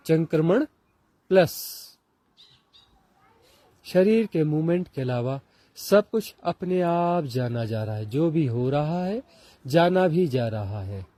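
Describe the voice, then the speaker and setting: A man with an Indian accent teaching a guided meditation, speaking deliberately in short repeated phrases with pauses. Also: fairly high pitch, expressive, mellow, dry recording. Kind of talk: guided walking meditation instruction.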